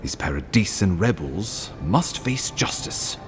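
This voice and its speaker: An actor playing a grave, courtly imperial ambassador in a video game, speaking formally and deliberately. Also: male voice, deep voice, very animated, music in the background. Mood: grave, earnest. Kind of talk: scripted video game dialogue.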